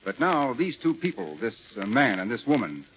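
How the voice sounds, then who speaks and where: A man's voice: mid-range voice, sing-song, normal speed, lightly acted. An actor playing an assured middle-aged lawman in 1940s radio drama, explaining things with wry confidence. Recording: muffled, noisy 1940s radio broadcast recording.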